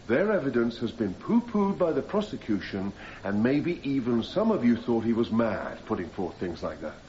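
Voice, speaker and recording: A man's voice: medium pitch, a little mellow. An actor playing a persuasive male defence advocate, making an emphatic, rhetorical courtroom argument in a radio drama. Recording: muffled, hissy radio drama recording.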